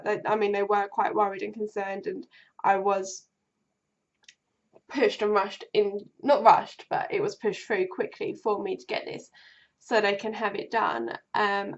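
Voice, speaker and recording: A woman's voice: mid-range voice, lo-fi sound. A young woman with a cold and a bunged-up voice that keeps going, chatting in a casual vlog with lots of ums and restarts. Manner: rambling, many ums and restarts. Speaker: vlogger.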